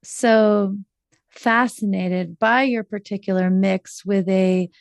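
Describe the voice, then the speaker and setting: Woman podcast host, talks real slow and careful, dry close sound. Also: mid-range voice, fairly even intonation, gravelly, no echo. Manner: measured, drawn-out phrasing. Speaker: podcast host, relationship coach. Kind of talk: slow, thoughtful interview questions.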